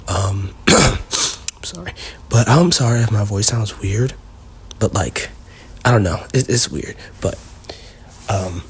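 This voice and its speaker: Male host, deep and husky, loose rambling countdown chat with lots of filler. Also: lively intonation, slow, long pauses. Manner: rambling, heavy on fillers like "you know". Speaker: video host. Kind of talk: casual countdown commentary.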